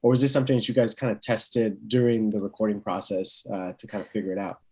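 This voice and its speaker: A man's voice: fairly low pitch, flat delivery, quick pace, darkish tone, breathy edge. A man working as an interviewer, asking long, casual questions in a tentative way and rephrasing them as he goes.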